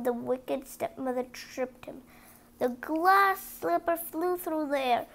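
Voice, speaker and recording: Woman reading a kids storybook out loud, high voice, really slow, bit stumbly. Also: vocal fry. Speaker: storybook reader. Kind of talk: reading a children's story aloud.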